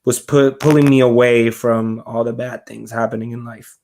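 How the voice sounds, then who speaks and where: A man's voice: mid-range voice, steady tone, normal speed, a little mellow. Easygoing-phrased man from Puerto Rico, chatting. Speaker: podcast guest, reptile keeper. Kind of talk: casual chat.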